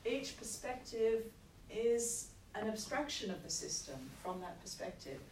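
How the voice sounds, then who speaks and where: A woman lecturer giving a university lecture with a croaky voice, explaining at a measured pace with frequent checks like "okay". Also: on the high side, darkish tone. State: croaky, recovering from lost voice. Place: lecture hall. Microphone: room recording from far off. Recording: echoey hall, distant mic, noisy.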